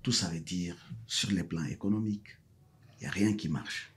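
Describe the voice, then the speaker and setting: A man with a very deep, heavily husky voice. He is a professor and a Mashi speaker, answering in an interview. He hesitates with fillers, then presses his points through repetition. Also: normal speed. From South Kivu, DR Congo. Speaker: guest, professor.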